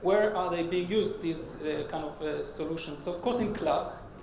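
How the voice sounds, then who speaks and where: Hebrew-accented man pitching in an echoey hall. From Israel. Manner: halting, many uh fillers and restarts. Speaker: startup CEO presenting. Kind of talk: conference pitch. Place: conference hall stage. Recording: echoey hall with noisy background.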